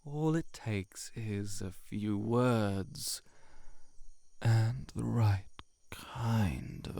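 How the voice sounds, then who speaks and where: A man voicing a cynical, seductive heart-eating shapeshifter monster in an intimate audio roleplay, sardonic and measured, sometimes near a whisper. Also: fairly low pitch, dark tone, no room sound, full character voice. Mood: menacing, seductive.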